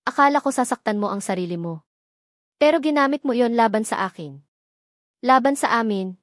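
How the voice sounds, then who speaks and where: A woman's voice: a little high, animated, moderate pace, no room sound, clean studio sound, lightly acted. A woman voicing a wounded young husband whose voice turns bitter and then breaks. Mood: shouting.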